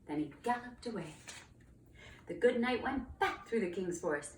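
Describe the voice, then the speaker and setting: A woman's voice: mid-range voice, expressive, normal speed. A woman narrating a children's storybook with dramatic, emphatic storytelling. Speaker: storybook reader, cantor. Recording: roomy, distant mic, faint noise.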